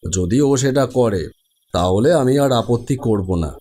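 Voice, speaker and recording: A man playing a patient middle-aged father, reasoning in a measured way in a cartoon. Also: lowish voice, mellow, lightly acted. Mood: gentle, firm. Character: patient, gently reasoning father of a grown daughter. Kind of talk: animated horror cartoon dialogue.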